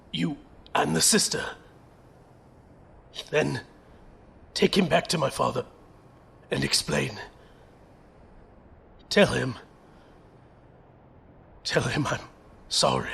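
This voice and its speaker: A man playing a gentle, resolute young soldier captain who is dying, speaking weakly and haltingly in an audio drama. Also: a little high, full character voice. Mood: fading, urgent. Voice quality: weak, creaky, breathy pauses. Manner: halting, laboured delivery.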